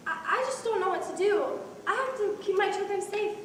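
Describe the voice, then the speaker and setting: A woman's voice: very high-pitched, lively intonation, brisk, fairly bright tone. A woman playing an anxious, overwhelmed single mother in a stage monologue. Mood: anxious, desperate. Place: stage in a large echoing hall. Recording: echoey hall from far off, faint noise.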